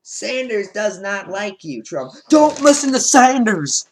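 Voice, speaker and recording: Animated sarcastic male vlogger. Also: high-pitched, slow, a little hiss or hum. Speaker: political commentator vlogger. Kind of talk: casual reaction commentary over notes.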